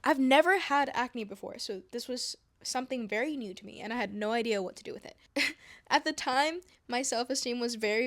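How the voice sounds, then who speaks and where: A young woman from Indonesia chatting calmly to camera, soft-spoken with whispery asides and self-mocking laughs. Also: a little high, dry recording. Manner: playful, laughing at herself. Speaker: vlogger. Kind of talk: calm casual chat to camera. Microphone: wireless clip-on mic.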